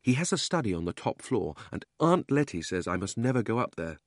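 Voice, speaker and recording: A man's voice: lowish voice, big pitch swings, brisk, no echo, studio quality. A man voicing a miserable, defiant young country boy in a storybook, wound-up and emphatic. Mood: secretive. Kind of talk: storybook character reading.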